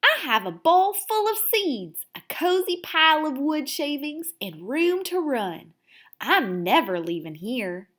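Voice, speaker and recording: Woman voicing a cocky, animated little storybook hamster. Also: high voice, a little slow, full character voice. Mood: smug, delighted. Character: cocky, excitable male pet hamster telling his diary. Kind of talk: first-person children's storybook read-aloud.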